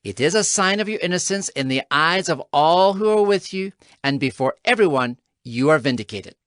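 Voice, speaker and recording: A woman's voice: low-pitched, lively intonation, normal speed, no room sound. Woman voicing an earnest ancient king, dry sound. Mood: conciliatory. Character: Abimelech, an indignant, pleading ancient king. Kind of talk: dramatized scripture reading.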